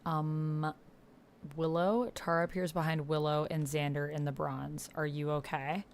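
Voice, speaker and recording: Low-pitched woman streaming over noisy keyboard clatter. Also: little pitch movement, unhurried. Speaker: streamer and player of a text-based roleplaying game. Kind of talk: casual livestream chat, reading messages and typing roleplay aloud. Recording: noticeable background noise with keyboard typing. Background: computer keyboard typing.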